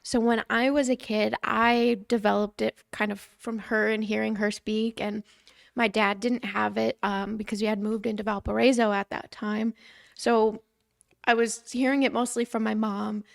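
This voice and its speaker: Gravelly-voiced chatty woman from Indiana. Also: on the high side, flat delivery, normal speed, no room sound, clean studio sound. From Indiana, USA. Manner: chatty, laughs often. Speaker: podcast co-host. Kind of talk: casual chat.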